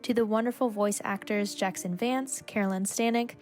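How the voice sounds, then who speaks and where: A woman's voice: medium pitch, fairly even intonation, normal speed, darkish tone, faint music behind. A woman reading scripted radio drama credits as an announcer. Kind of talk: scripted narration.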